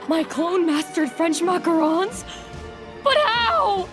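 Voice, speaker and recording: A woman voicing an indignant, high-strung teenage girl in an animated series. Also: slow pace, exaggerated voice. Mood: alarmed, indignant. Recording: music behind.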